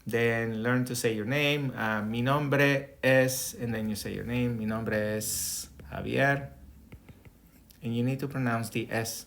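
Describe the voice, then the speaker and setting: A man's voice: medium pitch, gravelly, fairly bright tone. A middle-aged man from Colombia with a Colombian accent, a native Spanish speaker, giving an online Spanish lesson over a video call and checking in with "okay?" as he explains each step. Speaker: Spanish teacher. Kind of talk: online lesson with screen share. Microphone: webcam or laptop mic on video call.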